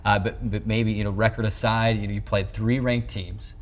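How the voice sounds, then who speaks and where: A man's voice: lowish voice, flat delivery, normal speed. A young American man in his twenties hosting a sports interview, conversational and wordy as he builds up to questions. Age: 20s. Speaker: sports show host, interviewer. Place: small indoor locker-room set. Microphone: lapel mic.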